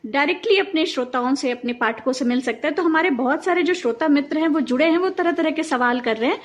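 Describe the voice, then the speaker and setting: A woman's voice: high-pitched, fast. A woman with an Indian accent hosting a talk programme, addressing listeners and her guest conversationally. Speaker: interview host. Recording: dull, band-limited online audio.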